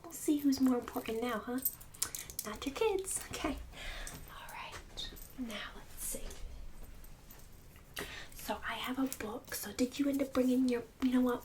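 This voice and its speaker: A woman's voice: sits high, measured pace, long pauses, far from the mic, noisy background, pitched above the performer's own voice. A woman playing a pushy, boastful suburban mother in an ASMR roleplay, condescending and fake-sweet, often dropping into a whisper. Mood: fake-sweet, snide. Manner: condescending, fake-sweet, snide, passive-aggressive.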